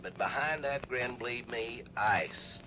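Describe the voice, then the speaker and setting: An actor playing a weary, grudging, folksy small-town police chief in a 1950s radio drama. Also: male voice, mid-range voice, a little slow, natural voice. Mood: wry resentment. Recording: noisy, dull 1950s radio recording.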